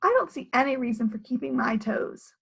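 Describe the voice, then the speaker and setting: A woman's voice: high-pitched, very animated, brisk, gravelly, a bit bright, breathy edge, full character voice. Lady doing an earnest little schoolgirl from a storybook, stubborn, emphatic, voice goes up and down a lot. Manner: emphatic, insistent.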